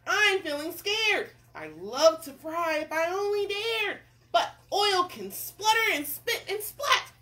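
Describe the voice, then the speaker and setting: A woman voicing a timid male storybook cook who is scared of everything, high and quavering, stammering and pleading. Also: sing-song, a little slow, fairly bright tone, exaggerated voice, poor audio. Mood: terrified. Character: timid, terrified wimpy male cook with wobbly knees.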